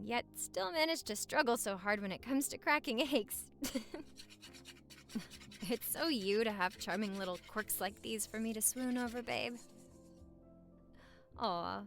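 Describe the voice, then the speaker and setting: A woman's voice: a little high, fairly bright tone. A woman acting a playful, lovestruck newlywed wife in a romantic audio roleplay, teasing and flirting in intimate, easily flustered banter. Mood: teasing, affectionate.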